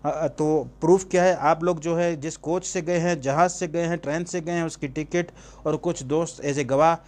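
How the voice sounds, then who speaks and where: A man with a Pakistani accent and a slightly high-pitched voice teaches in an informal lecture style. He keeps putting questions to the listener and answering them himself, slipping English terms into his explanations. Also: fairly even intonation, moderate pace, darkish tone. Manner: switches between Urdu and English terms. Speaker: law teacher. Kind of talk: informal exam-prep lecture with rhetorical questions.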